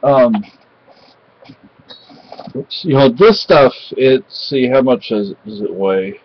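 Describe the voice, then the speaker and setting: A middle-aged man from Spain talks casually into a webcam in a cluttered room at home. His voice sits mid-range, and he rambles with pauses and restarts. The audio is dull and lo-fi. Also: medium pitch, lively intonation. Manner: rambling, halting, many fillers and restarts. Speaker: vlogger. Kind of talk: casual home vlog chat. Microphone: webcam at close range.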